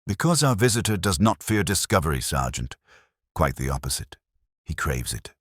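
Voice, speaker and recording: Give me a man playing a shrewd, gentle inspector with a very low, formal voice for a mystery story. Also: sing-song, normal speed, no room sound, studio quality. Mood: calculating. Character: calm, shrewd male police inspector, quietly authoritative.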